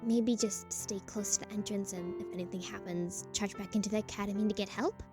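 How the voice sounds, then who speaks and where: A woman's voice: medium pitch, steady tone, a little fast, close to an everyday voice. A woman acting an exasperated but concerned young huntress in a fan fiction audio drama. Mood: uncertain, reassuring. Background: music behind the voice.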